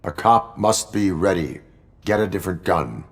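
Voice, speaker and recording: Game cyborg officer: very low voice, stoic, clipped, deadpan delivery. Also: male voice, moderate pace, gravelly. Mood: flat, stern. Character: stoic male cyborg police officer, formal and blunt. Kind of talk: video game voice acting.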